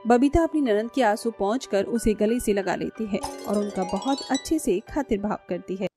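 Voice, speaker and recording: A woman's voice: medium pitch, moderate pace, music in the background. A woman narrating a scripted cartoon family story.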